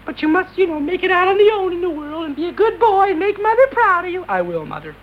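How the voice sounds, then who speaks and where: A man voicing a fretful old mother in a shrill, sobbing falsetto with theatrical, overwrought pleading on a comic spoken-word record. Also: big pitch swings, fast, slight background noise. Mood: doting. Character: wailing, fretful old mother, always crying out. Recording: dull lo-fi record airplay.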